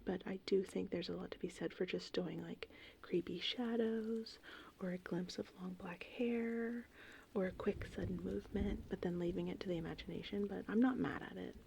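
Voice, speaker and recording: A woman whispering in a casual, meandering, unhurried ASMR ramble, with a breathy, creaky voice. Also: medium pitch, slight background noise. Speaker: ASMR host. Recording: steady rain and thunderstorm ambience. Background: gentle rain and thunder.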